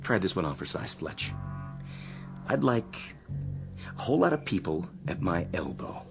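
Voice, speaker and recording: A man's voice: deep voice, sing-song, moderate pace, creaky, music in the background, heavily acted. An American actor playing a restless, resentful spaceman crewmate with a sarcastic, theatrical delivery, in mid-century television science fiction style. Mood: defiant. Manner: sarcastic, griping, theatrical swings of emphasis.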